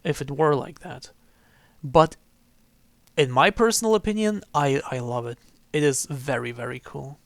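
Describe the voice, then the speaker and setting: A man's voice: a little high, moderate pace, creaky, pauses often, no room sound. A man giving casual livestream commentary on a game, rephrasing himself as he goes. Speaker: game streamer. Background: faint game music.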